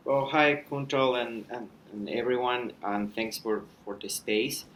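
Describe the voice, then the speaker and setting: A man, a mountain guide talking as a podcast guest in a measured, thoughtful way with frequent restarts. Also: medium pitch, fairly bright tone, a little hiss or hum, rough recording. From Ecuador. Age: 30s. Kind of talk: thoughtful conversational storytelling.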